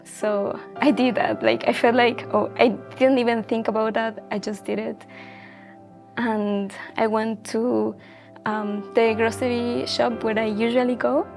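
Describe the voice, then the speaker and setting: Young woman, somewhat high voice, flat level delivery, earnest and reflective, faint music behind. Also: measured pace, vocal fry. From Ecuador. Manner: earnest, reflective, with frequent fillers like "like" and "um". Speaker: guest. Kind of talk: personal testimony in a casual chat.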